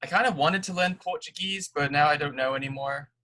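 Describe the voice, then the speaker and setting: A young man from Canada with a very bright, sharp-edged voice talks casually among friends. He explains things at length, with small restarts. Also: a little high, fairly quick. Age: young adult. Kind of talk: casual group chat.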